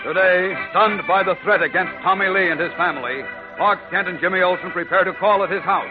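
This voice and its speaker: A man's voice: high-pitched, a little fast. Dramatic 1940s radio serial announcer, man. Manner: dramatic, declamatory. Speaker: announcer and narrator. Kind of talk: 1940s radio scripted narration. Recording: dull 1940s radio recording with music.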